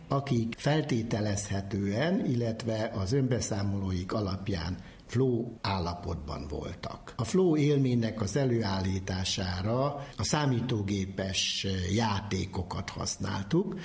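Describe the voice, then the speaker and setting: A man's voice: on the low side, darkish tone. A man who explains research as an academic expert, methodically and phrase by phrase. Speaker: psychology professor, researcher.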